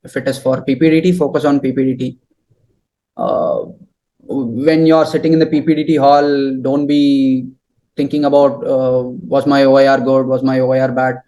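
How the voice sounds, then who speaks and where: A young man answering interview questions and giving advice in halting, deliberate phrases. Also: mid-range voice, flat delivery, warm tone, rough recording. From southern India.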